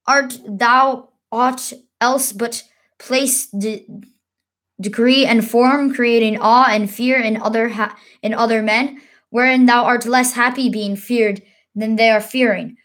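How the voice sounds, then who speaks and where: A brooding, burdened king, read aloud by a woman delivers a stage soliloquy in old verse. The voice is fairly high and very measured, declamatory but halting over some words. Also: fairly even intonation, fairly bright tone. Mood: questioning, scornful. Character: troubled young warrior king, brooding on burdens of rank.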